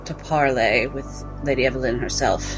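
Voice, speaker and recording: A man playing a sly, dry-witted doctor and spellcaster in tabletop roleplay, speaking in a halting, wordy, wry way. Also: medium pitch, fairly even intonation, music in the background, pitched below the performer's own voice, lightly acted, low-quality recording. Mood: wry, scheming.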